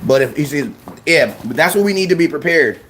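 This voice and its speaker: A young man hosting a casual fan show, talking in slang and repeating himself when excited. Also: fairly high pitch, brisk, slight background noise. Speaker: sports fan show host. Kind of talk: casual live-stream chat.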